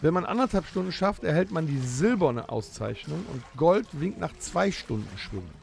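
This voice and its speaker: A man's voice: on the high side, very animated, normal speed, vocal fry, a little mellow, soft background music. A man co-hosting a comedic improvised audio play, reading out rules with eager, insistent emphasis. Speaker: podcast co-host.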